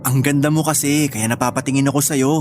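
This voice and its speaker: An actor plays a doting young man in love in a Filipino radio drama. He is teasing and utterly smitten with his girlfriend. Soft music sits faintly behind him. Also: mid-range voice, steady tone, fast talker, close to an everyday voice. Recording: faint music under dialogue.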